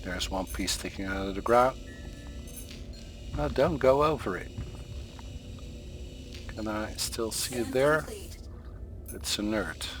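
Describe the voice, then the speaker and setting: A man from the Netherlands with a Dutch accent, calmly explaining step by step while playing a game for a tutorial. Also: lowish voice, slow pace, long pauses. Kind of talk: gameplay tutorial commentary. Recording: voice over game sound and music.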